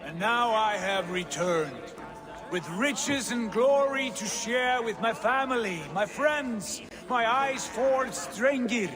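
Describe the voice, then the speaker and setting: An actor playing a boastful, grandiloquent Viking lord giving a rousing feast-hall speech in a video game. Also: male voice, extremely high pitch, slow pace. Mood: proud, grand. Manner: grandiloquent, declamatory. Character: boastful Viking lord back from years of raiding. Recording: echoing hall, music underneath.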